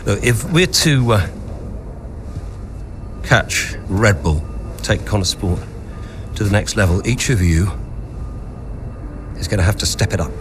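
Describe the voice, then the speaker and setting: Deep-voiced, demanding racing boss. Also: male voice, expressive, music in the background. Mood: commanding. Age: middle-aged. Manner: commanding, deliberate, emphatic. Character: demanding, ambitious father and racing team owner. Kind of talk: cinematic game story dialogue.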